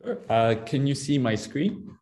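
A man's voice: medium pitch, animated, unhurried, darkish tone. A young man from Ethiopia, a grad student, giving a friendly seminar talk over a video call with frequent fillers.

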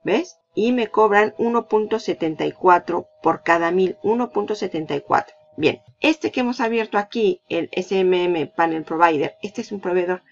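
A woman's voice: on the low side, moderate pace, hardly any pauses. Chatty woman tutor, dull lo-fi sound. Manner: chatty and explanatory, repeating points for emphasis. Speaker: online marketing tutor. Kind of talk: screen-recorded tutorial. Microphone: voice-over over screen capture.

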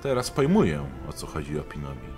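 A man voicing a weary, dutiful male warrior lieutenant with a very expressive delivery. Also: brisk, music in the background. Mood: thoughtful. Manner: very expressive, swinging pitch.

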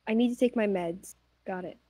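A woman's voice: medium pitch, fairly quick, warm tone, dry recording, lightly acted. A woman voicing a panicked, bewildered little female jester in an amateur cartoon fan dub, stammering and repeating herself, foul-mouthed. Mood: distressed confusion. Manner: stammering, repetitive, incredulous.

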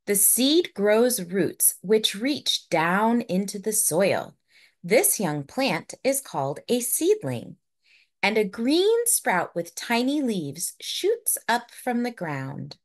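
A woman narrating a children's picture book in a deliberate, expressive storytelling manner for young listeners. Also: medium pitch.

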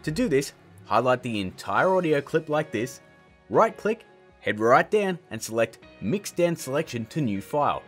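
A man's voice: mid-range voice, sing-song, normal speed, a bit bright, husky, faint music behind. A man in his thirties hosting a casual scripted tutorial in a jokey, emphatic, theatrical way. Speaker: tutorial host.

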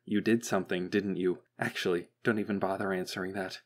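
A man voicing a paranoid, coldly sarcastic female machine overseer in an audiobook, sharp and condescending. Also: low voice, fairly even intonation, fairly quick. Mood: cold menace.

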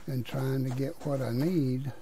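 A man's voice: medium pitch, measured pace, a little hiss or hum. A man with a Southern US accent giving casual, folksy commentary over a survival game he is playing. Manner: relaxed, folksy drawl with regional words. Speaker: gaming YouTuber.

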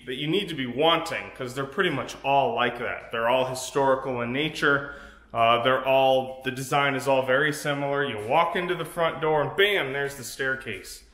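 American male realtor, 30s, halting off-the-cuff house tour delivery, bright forward voice, roomy echo. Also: medium pitch. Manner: halting, repeats phrases as he explains. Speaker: real estate agent hosting walkthrough videos. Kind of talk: unscripted property walkthrough commentary to camera. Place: empty rooms of a large house, indoors. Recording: echoey bare rooms, music behind.

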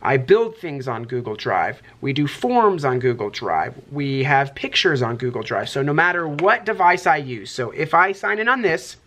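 A man's voice: medium pitch, sing-song, pushed and bright, a little hiss or hum. Upbeat man vlogging from a classroom. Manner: upbeat, explains things quickly. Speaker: kindergarten teacher and vlogger. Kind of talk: casual vlog talk to camera. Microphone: camera at arm's length.

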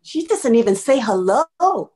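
A woman's voice: on the high side, big pitch swings, a little slow, a little mellow. A middle-aged woman playing a disapproving, complaining mother in a film script table read over a video call. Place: indoor room at home, video call.

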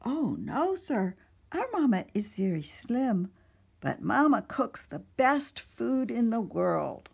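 A woman's voice: fairly high pitch, big pitch swings, slow, creaky, slightly rough, voice pushed up, full character voice. A woman voicing lost young children who earnestly insist on how wonderful their mother is.

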